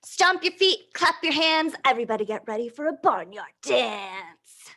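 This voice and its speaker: A woman with a fairly high voice leads a storytime for young children. She reads in an animated, playful style and guides little listeners through actions. Her manner is encouraging, often checking in with them as she goes. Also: moderate pace, vocal fry. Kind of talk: animated picture-book reading and action songs for young children.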